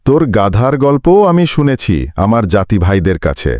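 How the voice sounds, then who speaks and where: A man's voice: mid-range voice, sing-song, normal speed, a little mellow, dry recording, studio quality, lightly acted. A man voicing a boastful, menacing djinn who runs a tea stall in a cartoon, in a theatrical, taunting manner.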